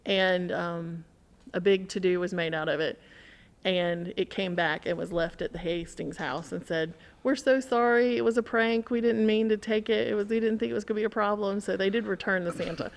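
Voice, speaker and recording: A woman's voice: mid-range voice, flat delivery, normal speed, vocal fry. A woman telling a story in casual conversation as a podcast guest, animatedly acting out what people said.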